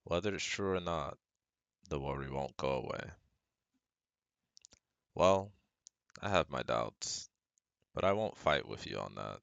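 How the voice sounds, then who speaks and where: A man's voice: very deep voice, lively intonation, measured pace, creaky, long pauses, a bit bright, smooth, no echo, highs cut off, exaggerated voice. A young man playing a caring, protective best friend and psychology student in a scripted ASMR roleplay, speaking earnestly and reassuringly to the listener. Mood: concerned, gentle. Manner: reassuring, earnest, talks directly to a close friend.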